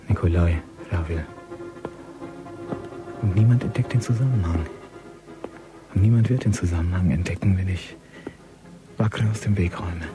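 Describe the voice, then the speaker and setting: An actor playing a cold, calculating gangster in a radio crime drama, brooding in a hushed inner monologue. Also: male voice, sits low. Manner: slow, brooding, half-whispered inner monologue. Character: cold, calculating young male gangster thinking aloud.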